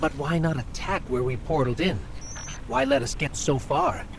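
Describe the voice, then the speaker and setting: An eager little robot companion. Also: male voice, a little high, big pitch swings, gravelly, background noise. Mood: curious. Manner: quick, inquisitive, reactive. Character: small floating robot companion, eager and curious. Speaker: companion character in a video game. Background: game music.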